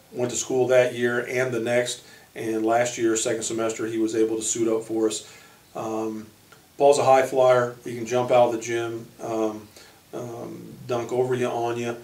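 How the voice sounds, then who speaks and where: An American man speaking as a basketball coach, informally introducing his team in halting short phrases. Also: medium pitch, monotone, darkish tone. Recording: echoey room, distant microphone.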